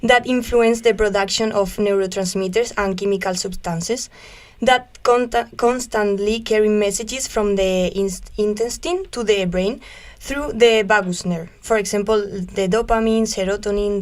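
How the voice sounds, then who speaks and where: A young woman from Spain, mid-pitched and eager, walks through science facts in a casual volunteer radio talk. She explains things warmly with a laugh here and there. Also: normal speed. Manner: enthusiastic, explanatory, laughs easily.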